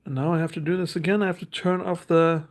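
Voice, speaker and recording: A man's voice: a little high, fairly even intonation, quick pace. A man, hosting a casual unboxing and reading card text aloud in a hesitant, thinking-aloud way. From Norway. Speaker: host.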